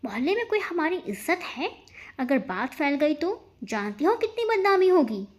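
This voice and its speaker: A high-pitched, stern middle-aged aunt from an audio storybook, acted out by a woman narrator. She scolds with biting sarcasm and a sharp, no-nonsense temper. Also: big pitch swings, moderate pace. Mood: angry.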